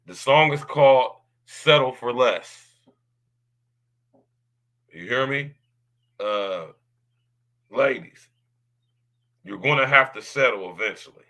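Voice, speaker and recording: A man with a deep baritone voice hosts his podcast in a loud, drawling monologue. He leans on slang and keeps tagging his lines with questions. His tone comes through bright and forceful. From United States. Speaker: podcast host.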